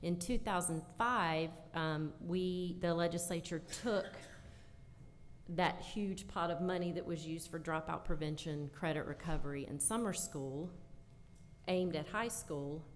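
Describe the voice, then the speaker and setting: Woman conference panelist, lowish voice, explanatory and emphatic, reverberant noisy hall. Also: a little slow. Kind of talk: panel answer, explanatory talk. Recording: echoey hall with background noise.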